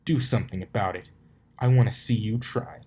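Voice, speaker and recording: A man's voice: on the low side, fairly quick, mellow, slightly rough. A man voicing a cocky young pegasus stallion, angry and confused, with a defiant, blustering manner in an amateur storybook reading.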